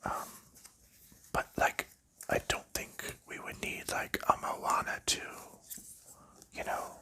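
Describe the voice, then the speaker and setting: A man whispers his way through a relaxed ASMR chat, drawing every phrase out slowly. His whisper is bright and sharp-edged, close and breathy. He rambles softly and lets small chuckles slip in. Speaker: ASMR creator.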